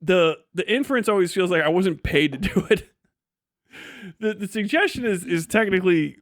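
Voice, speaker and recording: A man with a fairly high voice hosts a livestream, ranting casually. He is blunt and sardonic and swears freely. The sound is close and dry. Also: lively intonation, moderate pace, no room sound. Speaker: livestream host.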